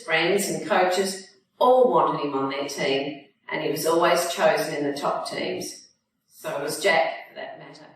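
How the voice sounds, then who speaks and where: A woman reads a story aloud as its author. Her voice sits a little low, and it reaches the mic from some distance in a very echoey room, with faint noise underneath. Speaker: author reading own novel. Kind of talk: audiobook-style reading of fiction.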